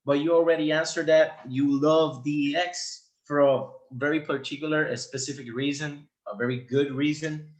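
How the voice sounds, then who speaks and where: A man's voice: on the high side, fairly even intonation, a little slow, hardly any pauses. Easygoing male host in a roomy echo. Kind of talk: casual interview.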